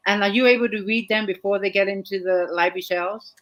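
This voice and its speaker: A woman's voice: mid-range voice, fairly even intonation, quick pace, low-quality recording. Friendly woman host on a video call, chatty, says hi to everyone by name. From England. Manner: chatty and welcoming, greets viewers by name. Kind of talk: live chat interview.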